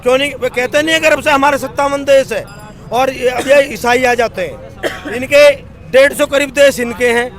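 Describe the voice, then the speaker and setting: A man's voice: extremely high pitch, fairly even intonation, moderate pace, fairly bright tone. An older man arguing insistently in a heated street debate, lecturing and repeating figures. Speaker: street interviewee. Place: outdoor street with a crowd. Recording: crowd noise behind voice.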